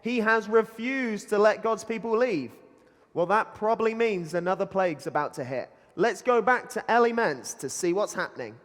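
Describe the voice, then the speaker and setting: A man's voice: high-pitched, lively intonation, moderate pace. A man playing a cheesy news anchor, presenting a scripted mock newsreel in an announcerly, punning style. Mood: announcing. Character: cheesy male newsreader anchor delivering dad-joke bulletins. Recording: long hall reverb, loud music bed.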